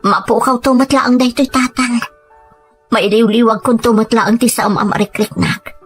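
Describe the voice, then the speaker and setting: A woman's voice: a little high, steady tone, moderate pace, close to an everyday voice. A woman playing a devoted, self-sacrificing working mother in an emotional Ilocano Filipino radio drama. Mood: sad, resolute. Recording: music under the voice.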